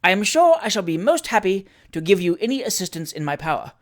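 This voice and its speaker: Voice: medium pitch, very animated, quick pace, natural voice. A reader voicing a jovial, rich old uncle in a storybook, declaiming and correcting with great emphasis. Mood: eager, obliging.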